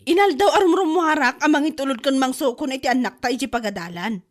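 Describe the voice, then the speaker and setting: Woman, Ilocano accent, high-pitched, fed-up wife venting in a comedy drama. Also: fast talker. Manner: exasperated, complaining, rising exclamations.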